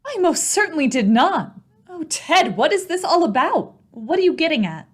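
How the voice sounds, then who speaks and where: Radio mystery wife, female actor: worried, evasive, fairly high voice with lively intonation. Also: normal speed. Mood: puzzled, indignant. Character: worried, evasive young wife pleading with her husband. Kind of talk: old-time radio mystery acting.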